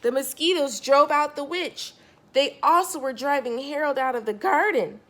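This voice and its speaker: A woman's voice: high-pitched, normal speed, a bit bright. A woman reading a picture book aloud to young children, expressively, stressing key words and speaking directly to her listeners.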